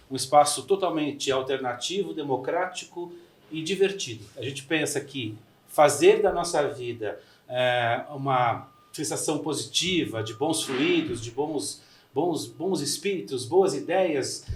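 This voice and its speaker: A middle-aged man with a Brazilian Portuguese accent hosting a podcast interview, effusive and friendly, with frequent restarts. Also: on the high side. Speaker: podcast host.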